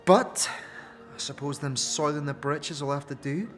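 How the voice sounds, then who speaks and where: A woman's voice: sits low, normal speed, lightly acted. A woman voicing a scornful, combative tiefling fighter in a fantasy game audio drama, mocking and full of street slang. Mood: grudging.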